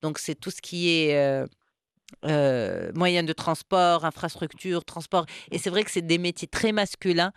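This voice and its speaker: A woman hosting a podcast who interviews her guest with lively, probing questions. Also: lowish voice, measured pace, clear, resonant tone, no echo, studio quality. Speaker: podcast host.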